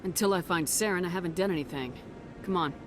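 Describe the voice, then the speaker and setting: A woman's voice: fairly low pitch, fast, over music, lightly acted. An actress playing a resolute, direct young female military commander in a video game.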